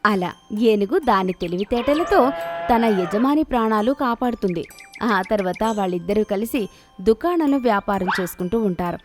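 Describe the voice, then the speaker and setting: A woman's voice: medium pitch, animated, moderate pace. A woman reading scripted narration for children's moral stories. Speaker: story narrator. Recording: clear music bed behind narration.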